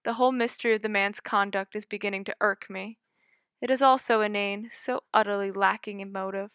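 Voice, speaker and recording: Bright, smooth woman narrating a story. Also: mid-range voice, steady tone, dry recording, studio quality. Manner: measured, dramatic reading. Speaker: audiobook reader.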